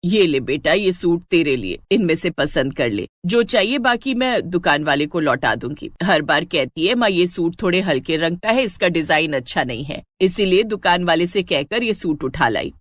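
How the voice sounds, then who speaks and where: A woman's voice: fairly low pitch, lively intonation, moderate pace, hardly any pauses, dry recording, clean studio sound, voice pushed down. A woman voicing a caring older mother of a married daughter, homely and chatty, in a storybook tale.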